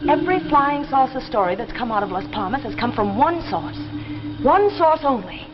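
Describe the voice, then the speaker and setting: A woman's voice: sits high, animated, normal speed, music in the background. Skeptical 1950s American woman, muffled recording. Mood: firm, urgent. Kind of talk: 1950s television drama acting. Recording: noisy, muffled 1950s soundtrack.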